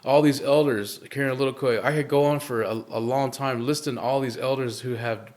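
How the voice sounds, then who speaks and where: A man guest in a live interview, speaking earnestly in halting phrases full of fillers and restarts. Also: mid-range voice, steady tone, a little mellow.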